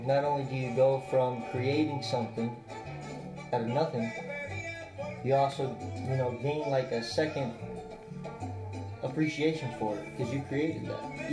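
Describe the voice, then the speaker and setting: Brash country-sounding man, roomy. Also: mid-range voice. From Cuban family roots. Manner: loud, brash and joking. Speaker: podcast guest. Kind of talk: casual chat. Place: a house. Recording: roomy sound with music behind.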